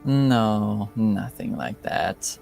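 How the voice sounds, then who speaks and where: A man's voice: lowish voice, very slow, vocal fry, a little mellow, soft background music, full character voice. A man voicing a reserved, formal cloaked female informant in a tabletop game, speaking stiffly without contractions. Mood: measured. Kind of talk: in-character roleplay.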